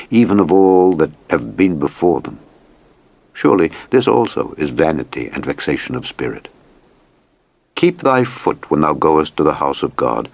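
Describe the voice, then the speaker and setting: Very deep-voiced man reading scripture. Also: dry recording, dull audio. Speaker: scripture narrator. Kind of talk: solemn scripted Bible reading.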